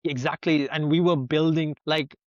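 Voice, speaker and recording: A man's voice: on the high side, steady tone, moderate pace, no room sound. A young man from Sri Lanka whose first language is Sinhala, speaking as an interview guest in an earnest, reflective way and thinking aloud with rhetorical questions. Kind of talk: conversational interview. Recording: dull, dry lo-fi sound.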